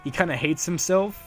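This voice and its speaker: A man giving a casual, unscripted solo commentary as a creator, in a rambling, halting way and often chuckling. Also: high-pitched, a bit bright. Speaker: fan dub creator, commentator.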